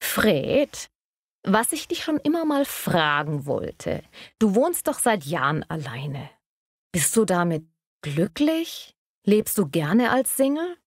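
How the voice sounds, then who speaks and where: An actress plays an encouraging, curious female friend in a language-lesson dialogue. Her intonation moves up and down freely and she articulates clearly. The sound is close and dry. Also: medium pitch, big pitch swings, takes it slowly, dry recording, exaggerated voice. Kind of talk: scripted language-learning dialogue, clearly enunciated.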